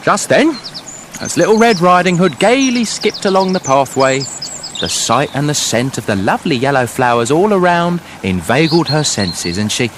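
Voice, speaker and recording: Fussy writer, wildly animated. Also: male voice, on the high side, moderate pace, slight background noise. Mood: florid, dramatic. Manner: florid, self-important storytelling, testy asides. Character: fussy, exasperated male writer narrating his fairy tale. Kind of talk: comic radio play acting.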